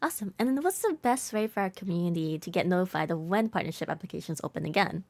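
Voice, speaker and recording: A woman's voice: lowish voice, lively intonation, fast talker, darkish tone, no echo. A woman co-hosting a livestream, reading viewer questions and announcements in an upbeat way. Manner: upbeat, laughs often, reads chat questions. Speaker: stream co-host.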